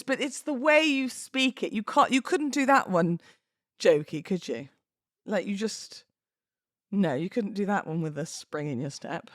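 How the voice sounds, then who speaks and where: A British woman hosting a podcast, moving between informal talk and expressive readings of verse. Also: medium pitch, no room sound, studio quality. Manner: chatty, informal, giggly. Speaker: podcast host. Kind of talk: casual podcast chat with dramatic poetry readings.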